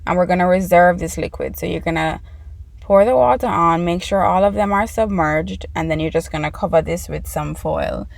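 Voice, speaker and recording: A woman with a Jamaican accent walks viewers through a recipe in her home kitchen. Her voice sits a little low with a gravelly, fry-edged texture. She talks in a chatty, easygoing way, explaining every step. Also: normal speed, no echo. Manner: chatty, explains each step to "you guys". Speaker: cooking channel host. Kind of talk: casual cooking tutorial.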